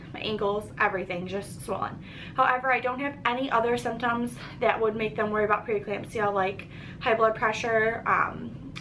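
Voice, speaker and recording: A young pregnant woman talks through her weekly update in a loose, chatty way, sprinkling in ums and restarting her sentences. Her voice sits a little high and sounds stuffy and short of breath. A faint background noise runs under the recording. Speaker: pregnancy vlogger. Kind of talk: casual pregnancy update vlog.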